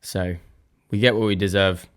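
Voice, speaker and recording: A young man hosting his own podcast, a little croaky, chatting casually and thinking aloud in a self-deprecating way. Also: low-pitched, steady tone, moderate pace. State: slightly croaky voice. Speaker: podcast host. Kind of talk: casual solo podcast chat.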